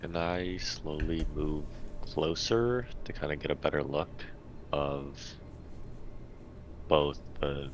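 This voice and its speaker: A man's voice: very deep voice, fairly even intonation, slow, pauses often, muffled recording, a little hiss or hum. A man playing a tabletop roleplaying game, talking casually as he puts questions to the game master.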